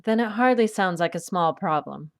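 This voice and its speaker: A woman playing a patient, wry adventuress and peacemaker in an audio drama, with a gentle, reassuring manner. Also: fairly low pitch, animated, moderate pace. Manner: gentle, reassuring, dryly witty.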